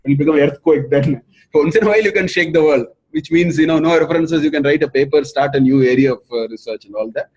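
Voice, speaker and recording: A middle-aged Indian man lectures earnestly, his voice a little husky and fairly high. He speaks English as a second language and hammers his points home through repetition. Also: fast talker, darkish tone, rough recording. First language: not English. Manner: earnest, repeats points for emphasis. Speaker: professor giving a research talk.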